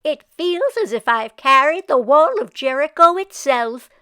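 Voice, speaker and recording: A storybook old village mother, played by a woman reader, who wails and kvetches with great self-pity. Her high voice swoops up and down dramatically, and she drags out her grievances slowly. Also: expressive, pushed and bright, full character voice. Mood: wailing complaint. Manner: wailing, exaggerated complaining with Yiddish exclamations. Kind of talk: storybook character voice.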